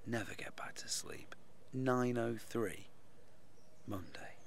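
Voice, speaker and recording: A man's voice: mid-range voice, slow, vocal fry, whispered. An actor playing a frazzled, grumpy young British office man thinking aloud in an audio drama. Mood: muttering, stressed. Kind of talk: stream-of-consciousness audio drama.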